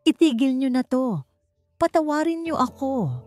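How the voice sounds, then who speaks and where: An anguished society wife pleads in an audiobook drama, voiced by a woman narrator. Her voice is raised in pitch and breaks with guilt. Music sits softly underneath. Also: expressive, a little slow. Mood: desperate pleading. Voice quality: breaking, sobbing voice.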